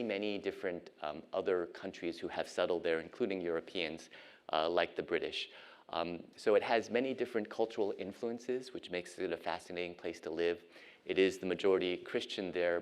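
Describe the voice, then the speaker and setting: Very low-voiced man giving a lecture. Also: clear, resonant tone. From United States (Ohio). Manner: measured, frequent ums and uhs. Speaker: guest lecturer, physician and professor. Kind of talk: academic lecture.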